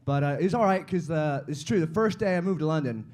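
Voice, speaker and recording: An American man with a slightly high voice doing stand-up on a club stage. He is self-deprecating and chatty, and he asks the audience questions. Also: fast, darkish tone. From United States, small-town Ohio. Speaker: stand-up comic. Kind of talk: stand-up set.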